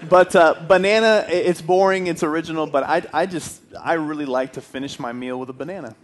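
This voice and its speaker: A man's voice: on the high side, fairly quick. A young man in his twenties from Puerto Rico, giving a friendly, earnest church talk in a hall. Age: 20s. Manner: friendly, earnest, some restarts. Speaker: guest speaker. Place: church hall with stone fireplace. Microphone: handheld mic, then headset.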